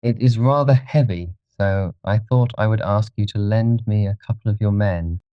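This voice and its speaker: Man as a courteous young gentleman, slightly muffled. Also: lowish voice, moderate pace, no echo, natural voice. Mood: polite, commanding. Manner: courteous, genteel, giving orders politely. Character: charming, wealthy young gentleman, polite but commanding.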